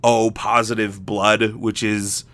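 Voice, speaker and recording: A man chatting casually as a solo podcast host, rambling and animated, swearing freely and often interrupting himself. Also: on the low side, slow pace, no room sound.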